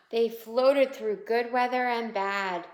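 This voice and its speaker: A woman reading a picture book aloud to young children in an expressive way, pausing to point out the pictures. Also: fairly high pitch. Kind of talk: children's read-aloud storytelling. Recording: roomy, echoing indoor sound.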